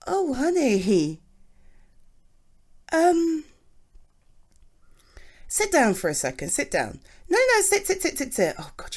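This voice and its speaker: A British woman acting as a doting, supportive girlfriend in an intimate ASMR roleplay, affectionate and halting as she talks to her partner. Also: sits high, heavily acted. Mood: concerned, affectionate. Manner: gushing, affectionate, halting with sighs and repeats.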